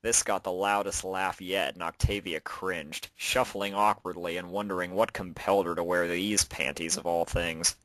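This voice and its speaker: A man's voice: low voice, steady tone, normal speed, few pauses, fairly bright tone, no room sound, rough recording. A man reading out a story as its narrator, in a scripted storytelling style. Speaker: narrator and fan fiction author.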